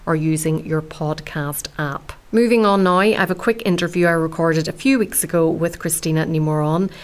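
A woman's voice: sits low, moderate pace. An Irish woman hosting a radio food show, chatting with guests and reading links.

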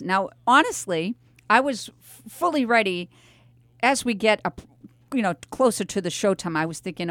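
A woman's voice: mid-range voice, dry recording. Chatty American woman hosting talk radio, close and dry. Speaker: radio host.

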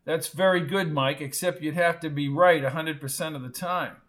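A man voicing an easygoing team coach who is calm, authoritative and gently teasing. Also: fairly high pitch, moderate pace, lightly acted.

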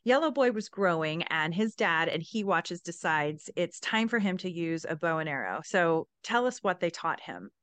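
A woman's voice: fairly low pitch, big pitch swings, moderate pace, few pauses, a bit bright, dry recording. A woman hosting a podcast, telling a story in scripted narration with casual asides. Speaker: podcast host.

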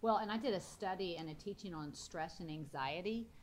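A woman's voice: on the low side, fairly quick, darkish tone, slight background noise. A woman in her forties giving conversational religious teaching as a co-host. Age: 40s.

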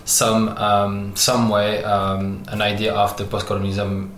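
A man from Algeria who grew up in France, a French speaker talking as an artist guest in an interview, pausing often and searching for English words. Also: on the low side, monotone, a bit bright. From Algeria, raised in France. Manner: many pauses and restarts, searching for English words. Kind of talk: halting interview answers. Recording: echoey room with faint noise.

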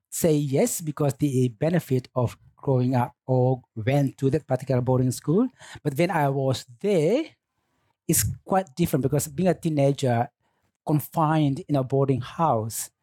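A middle-aged man from Indonesia, speaking English as a later language, answering interview questions as a teacher in a thoughtful, unhurried way. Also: a little high, warm tone, dry recording. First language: Lamaholot. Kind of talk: relaxed radio interview. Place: studio.

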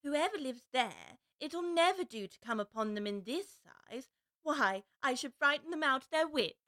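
A woman's voice: high voice, expressive, normal speed, bright, forceful tone. A woman voicing a polite, puzzled little girl in a storybook reading, speaking in an earnest, politely protesting way.

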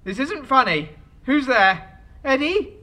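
Hapless jilted husband, high-pitched and animated. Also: male voice, slow pace, full character voice. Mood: alarmed, bewildered. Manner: muttering to himself, then blustering. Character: hapless, aggrieved jilted husband, bewildered and exasperated. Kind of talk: comedy audio drama acting.

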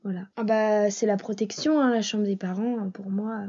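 Young woman, breezy chat, husky, muffled lo-fi recording. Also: medium pitch, steady tone, normal speed, mellow. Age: young adult. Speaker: interviewee. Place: parents' bedroom. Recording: dull lo-fi recording.

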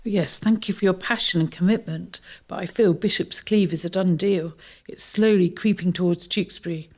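A woman playing a thoughtful townswoman in a radio drama, rather resigned about how her home is changing. Her pitch is on the low side. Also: moderate pace, a little mellow, lightly acted. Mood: wistful, resigned. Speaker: community theatre actor. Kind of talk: radio play acting.

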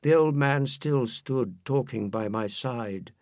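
Man reciting poetry, slow and solemn. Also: medium pitch, a little mellow, dry recording, studio quality. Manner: measured phrasing with deliberate pauses. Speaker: poetry reader.

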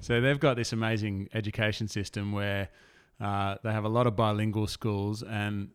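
A man hosting a podcast in a relaxed, chatty way, with frequent fillers like yeah. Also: fairly low pitch, normal speed, smooth. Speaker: podcast host. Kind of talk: casual podcast interview.

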